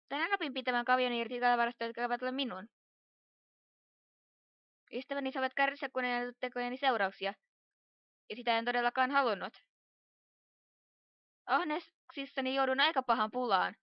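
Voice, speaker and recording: A woman's voice: fairly high pitch, long pauses, no room sound, close to an everyday voice. A woman voicing a brash, impulsive young female pony in an amateur cartoon fandub, with a dramatic delivery. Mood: contrite. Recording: dull, lo-fi home recording.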